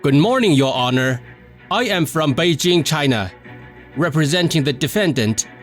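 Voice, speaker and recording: A man's voice: on the high side, animated, moderate pace, faint music behind, natural voice. A man playing a defense attorney who speaks in a polished, persuasive way. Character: composed male defense attorney. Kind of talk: formal courtroom address.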